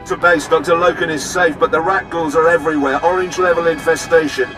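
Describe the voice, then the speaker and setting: Game soldier, radio transmission, urgent, highish pitch, music underneath. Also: male voice, fairly even intonation, a little fast. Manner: urgent, military. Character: urgent male soldier reporting from battle. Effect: radio comm. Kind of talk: video game voice acting.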